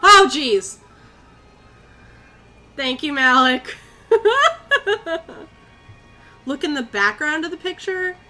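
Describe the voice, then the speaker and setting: A woman's voice: high voice, animated, takes it slowly, pauses often, bright, forceful tone, faint music behind. A young woman from Connecticut streaming a horror game, chatting with viewers, easily spooked and swearing. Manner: jumpy, easily spooked, swears and chats with chat. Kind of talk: live gameplay commentary.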